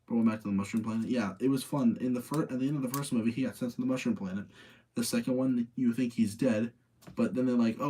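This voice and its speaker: Mellow-voiced man, rambling and tired. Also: mid-range voice, husky, low-quality recording. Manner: rambling, thinking aloud, repeating himself. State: tired late at night. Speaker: streamer hosting a movie rating session. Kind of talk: casual opinionated chat with live audience.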